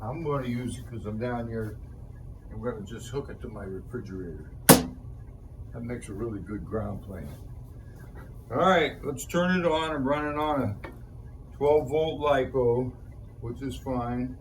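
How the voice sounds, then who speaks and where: A man's voice: medium pitch, measured pace, long pauses, very noisy. A man hosting a hands-on repair demonstration, talking casually to viewers in a folksy way as he thinks aloud. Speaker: host of a DIY electronics repair video.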